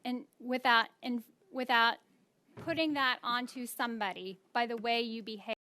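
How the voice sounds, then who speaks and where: A woman testifying as a witness at a hearing, answering haltingly and repeating herself. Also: a little high, steady tone, sharp tone, dry recording.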